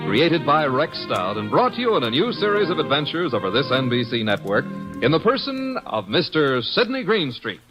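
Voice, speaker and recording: A grand old-time radio announcer. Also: male voice, fairly high pitch, wildly swooping pitch, moderate pace. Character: booming male show announcer. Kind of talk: 1950s radio show announcing. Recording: dull old radio recording with music.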